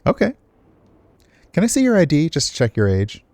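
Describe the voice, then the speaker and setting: A man's voice: a little high, wildly swooping pitch, normal speed, darkish tone, dry recording, pitched above the performer's own voice. A man performs a pompous restaurant boss in a visual novel game, brusque and lofty, in a dry recording. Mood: businesslike.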